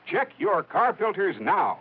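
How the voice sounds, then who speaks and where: Through a tinny, narrow old radio sound comes an American male announcer with a fairly high voice. He delivers an emphatic 1950s commercial pitch with lively rises and falls. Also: unhurried, slightly rough. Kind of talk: 1950s radio advertisement read.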